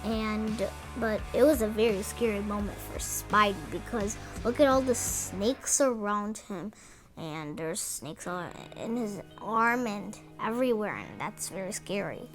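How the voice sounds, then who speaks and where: A woman reading a storybook aloud to children in an expressive way, talking directly to young listeners. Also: mid-range voice, measured pace. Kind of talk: read-aloud storytelling with chatty asides to children. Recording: music behind the voice. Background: music.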